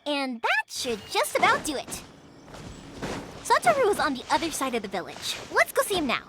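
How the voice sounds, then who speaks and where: An actress voicing a cheerful, energetic young woman who runs a family fireworks business. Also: sits high, moderate pace, heavy background noise. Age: young adult. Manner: bright, energetic and friendly.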